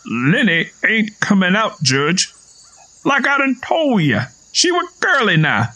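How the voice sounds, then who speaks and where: Man voicing a high-pitched, taunting Southern man. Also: huge pitch swings, slow, sharp tone, full character voice, rough recording. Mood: defiant. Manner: folksy, taunting, argumentative.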